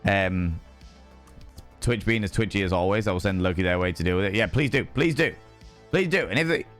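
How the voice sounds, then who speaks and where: A man with a hoarse, worn-out voice sits at his stream and banters with his chat, throwing out showy, teasing greetings. His voice sits on the low side, with faint music under him. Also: brisk, fairly bright tone. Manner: playful, theatrical greetings to chat. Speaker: livestream host. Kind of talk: casual chat with viewers.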